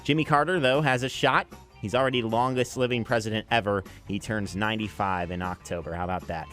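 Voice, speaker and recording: A man's voice: on the low side, fairly even intonation, quick pace, a bit bright. An American man presenting an afternoon music radio show as its DJ, linking songs and reading sponsor messages. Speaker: radio DJ, afternoon drive host. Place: radio studio. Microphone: close broadcast microphone.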